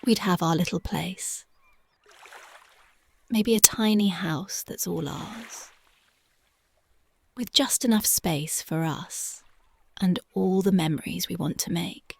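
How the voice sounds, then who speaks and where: Woman doing a punk gf whispering right into the mic, husky and slow, lots of fry, soft and loving. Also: lowish voice, dry recording, full character voice. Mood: tender dreamy. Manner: dreamy, intimate, affectionate. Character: young punk woman, tender devoted girlfriend. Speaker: voice actress. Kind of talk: ASMR roleplay, soft-spoken and whispered. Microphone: close mic.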